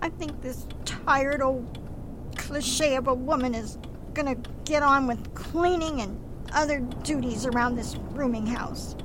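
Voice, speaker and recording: Brief: actress as a scolding, forbidding mother, high voice, rumbly track. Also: unhurried, noisy background. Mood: resigned. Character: stern, disapproving older mother, landlady. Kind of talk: amateur radio drama.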